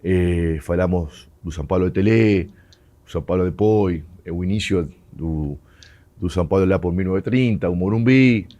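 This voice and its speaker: Uruguayan ex-footballer, native Spanish speaker, low voice, storytelling guest. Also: male voice, moderate pace, dark tone. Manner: mixes Portuguese and Spanish, laughs often. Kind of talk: storytelling interview.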